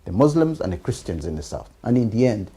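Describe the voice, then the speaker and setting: A male interviewer with a Ugandan accent and a husky, mellow voice. His pitch moves around a great deal as he argues conversationally, often repeating words while he builds his point. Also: sing-song, fast talker. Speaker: TV programme host.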